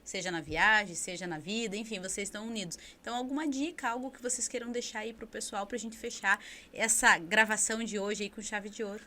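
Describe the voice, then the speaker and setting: A woman's voice: mid-range voice, steady tone, a little fast, bright, forceful tone. A Brazilian woman hosting a podcast, chatty and steering the conversation toward her guests. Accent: Brazilian Portuguese. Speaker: podcast host. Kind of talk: casual podcast interview.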